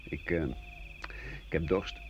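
An actor playing an embittered, war-weary French doctor who broods over his past in a slow Dutch radio play. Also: close to an everyday voice. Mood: wary, dry. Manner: slow, brooding, halting delivery with swings of emphasis. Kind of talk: 1980 Dutch radio play, monologue and dialogue. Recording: dull, noisy old radio recording.

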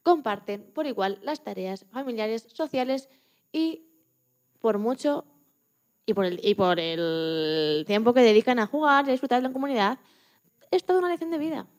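High-pitched woman giving explanatory answers. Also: expressive, normal speed. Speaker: interview guest.

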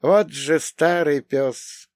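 Imperious middle-aged aunt of noble birth played by a male narrator: raised pitch, haughty, commanding, dry audiobook sound. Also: lively intonation, moderate pace, fairly bright tone, no echo. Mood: reminiscing. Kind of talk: acted audiobook dialogue.

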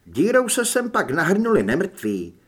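Man voicing a tough cool-headed woman fighter, raised husky pitch. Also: wildly swooping pitch, a bit bright, full character voice. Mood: intense. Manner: blunt, controlled. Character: tough, cool-headed woman monster hunter, blunt and threatening.

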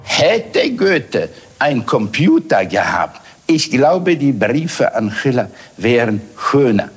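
Man, emphatic and repetitive, slow-paced, very lively intonation, rhetorical questions. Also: mid-range voice, soft background music.

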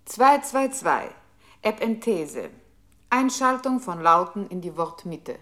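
An actress playing a computer's impersonal control voice in a radio play, announcing commands and technical terms with formal precision. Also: female voice, mid-range voice, big pitch swings, slow, a bit bright. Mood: clinical, impersonal.

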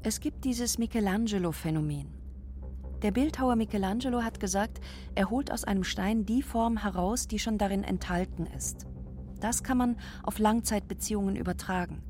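A woman's voice: medium pitch, moderate pace, a little mellow, soft background music. A woman giving an explanatory talk as a commentator on relationships.

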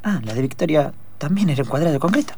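A man playing an excitable, stubborn police detective with an Argentine accent in improvised comic radio drama, repeating himself haltingly. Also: on the high side, creaky, background noise. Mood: agitated. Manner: repetitive, halting, colloquial Argentine phrasing.

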